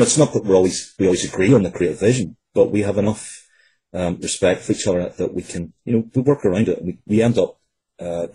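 A man giving a casual interview as a writer, in a halting, conversational way. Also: deep voice, mellow, husky, low-quality recording.

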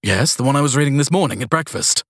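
A man's voice: medium pitch, animated, fast, a bit bright, dry recording, clean studio sound, pitched above the performer's own voice. A man voicing a flustered, breathless guest who is curt but polite in an audiobook story. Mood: agitated. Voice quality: breathless, rasping between gasps.

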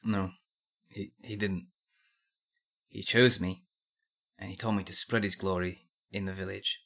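A man voices a young male apprentice under questioning in an audio drama. He is a defiant zealot with a fairly low voice and a hard, tense edge. His answers are clipped and bitterly sardonic, full of conviction. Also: steady tone, unhurried, vocal fry, a bit bright, heavily acted. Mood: earnest. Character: defiant, zealous nineteen-year-old male blacksmith's apprentice under interrogation. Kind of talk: dramatic interrogation dialogue.

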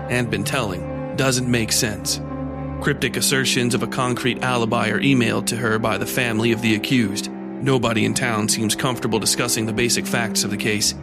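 A man reading scripted horror and mystery narration as a storyteller, with music behind him. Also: medium pitch. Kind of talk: scripted narration of dark fiction.

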